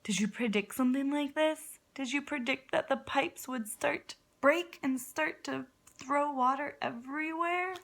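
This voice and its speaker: A woman's voice: high-pitched, measured pace, fairly bright tone. A woman kindergarten teacher reading a story aloud expressively, guiding young listeners with simple questions and dramatic phrasing.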